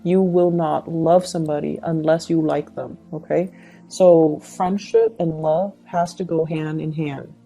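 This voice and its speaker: Woman, tarot reader: lowish, very dark and soft-edged tone, reflective advice straight to the listener, music underneath. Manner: reflective, advising, addresses listener directly. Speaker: tarot reader and channel host. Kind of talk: intuitive tarot reading addressed to the listener.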